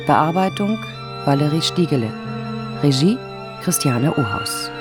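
A woman's voice: low voice, animated, unhurried. A woman formally announcing the title and credits of a radio play. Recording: violin music under the voice. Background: violin music.

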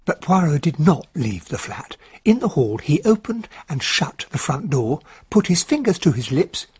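A proper English gentleman sidekick, telling and acting out a radio detective story. His voice is breathy and husky, with lively intonation and an earnest, upright manner. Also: a little high, normal speed, heavily acted. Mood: dryly amused. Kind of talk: radio drama narration and dialogue.

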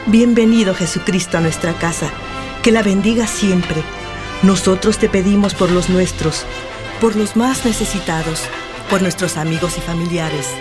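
A woman's voice: fairly low pitch, normal speed, lightly acted. Actress as devout Mexican mother over music. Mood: prayerful. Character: devout, caring mother of the family. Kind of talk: radio soap acting.

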